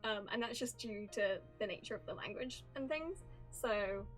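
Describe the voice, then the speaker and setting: A woman's voice: medium pitch, lively intonation, normal speed, low-quality recording. A woman livestreamer giving casual, chatty game reaction commentary, thinking aloud as she goes. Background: soft game music.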